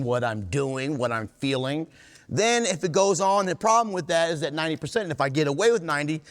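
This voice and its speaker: A man's voice: medium pitch, a bit bright. A man giving a candid, plain-spoken talk to a recovery group, driving home his points by repeating and rephrasing them. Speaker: workshop speaker at a recovery meeting. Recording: reverberant hall.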